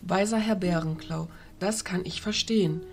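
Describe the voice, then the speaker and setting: A woman playing a dignified, diplomatic fairy ruler, speaking in a formal, measured way. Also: lowish voice, close to an everyday voice.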